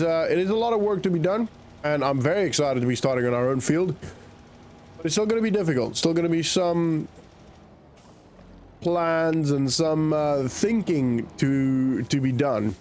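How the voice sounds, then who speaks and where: A man with a croaky, nasal voice from a cold, chatting casually as he plays a farming game and thinks aloud. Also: on the high side, darkish tone, a little hiss or hum. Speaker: gaming channel host. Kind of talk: casual gameplay commentary.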